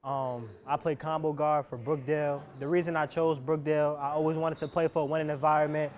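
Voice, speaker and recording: A man's voice: on the high side, flat delivery, a little fast, a little mellow. A young man from New Jersey casually introducing himself as a college basketball player. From New Jersey, USA. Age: young adult. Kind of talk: casual self-introduction to camera.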